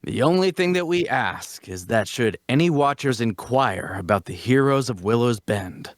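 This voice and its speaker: A man's voice: medium pitch, animated, moderate pace, no echo, studio quality, close to an everyday voice. Man acting a grandiose, self-important fantasy adventurer. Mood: triumphant. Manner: grandiose, theatrical storytelling. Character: self-important male adventurer spinning a heroic tale. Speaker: actor at a table read. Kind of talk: dramatic fantasy dialogue reading.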